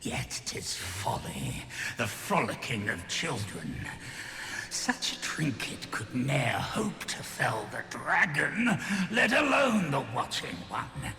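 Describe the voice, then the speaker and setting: An ancient, withered king of a fantasy game, bitter and grandiose. His voice is gravelly and very slow, with archaic, theatrical phrasing that sinks into breathy whispers. It rings through a long cavernous reverb. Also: mid-range voice, huge pitch swings, pushed and bright, heavily acted. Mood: scornful brooding. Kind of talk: fantasy video game acting.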